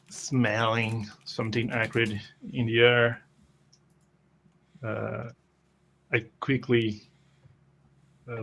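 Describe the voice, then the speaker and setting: A man with a creaky voice describes his moves in a tabletop game. His delivery is slow, halting and hesitant, with words repeated as he improvises. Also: lowish voice. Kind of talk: improvised first-person description of game actions.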